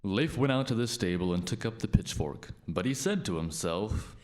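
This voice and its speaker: A man's voice: on the low side, lively intonation, moderate pace, natural voice. A man playing a storyteller, narrating a scripted folktale. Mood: storytelling.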